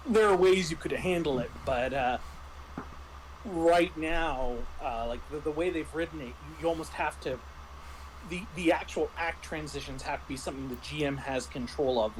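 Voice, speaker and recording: Higher-voiced man explaining over noise. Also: normal speed, rough recording. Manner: explanatory, restarts and rephrases in mid-thought. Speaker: game master. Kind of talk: casual review discussion.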